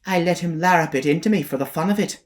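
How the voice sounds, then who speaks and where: A brazen, offhand young woman, voiced by a man reading aloud, quick and soft as she shrugs it off. It sits close to the reader's own voice in this storybook reading. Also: on the high side, pitched above the performer's own voice, close to an everyday voice.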